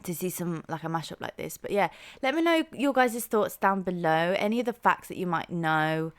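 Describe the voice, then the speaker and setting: A young woman with a soft, slightly low voice reacts to music in a casual, chatty way. She talks enthusiastically and fills her thoughts with "like" and "um". She sounds like a relaxed host talking straight to her viewers. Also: moderate pace. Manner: chatty and enthusiastic, heavy use of "like" and "um".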